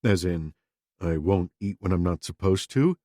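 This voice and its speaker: A man voicing a calm interviewer who asks flat, measured, terse questions. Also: deep voice, dry recording, clean studio sound. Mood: cool, probing.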